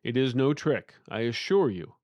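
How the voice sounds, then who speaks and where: A man's voice: mid-range voice, very animated, normal speed, darkish tone, no room sound, highs cut off, close to an everyday voice. Trapped spirit of an uncle , played by a male narrator, earnest and imploring, fantasy audiobook, close dry sound. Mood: calm, persuasive. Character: earnest, pleading uncle's spirit trapped behind a sealed portal.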